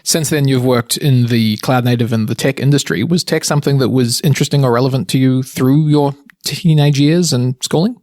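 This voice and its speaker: A man's voice: medium pitch, brisk, darkish tone, dry recording, studio quality. A man hosting a podcast, asking questions in an easygoing, wry manner. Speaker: podcast host. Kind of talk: interview questions.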